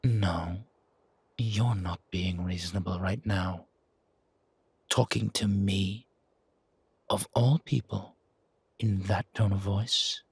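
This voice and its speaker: Casting: male actor as condescending gentleman leader for audio drama, very raspy and low, measured, with veiled threat. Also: steady tone, no echo, heavily acted. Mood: quiet menace. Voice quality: husky, gravelly. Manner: measured, condescending, softly chiding. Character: older-mannered founder, genteel, patronizing, quietly menacing man. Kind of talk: audio roleplay drama acting.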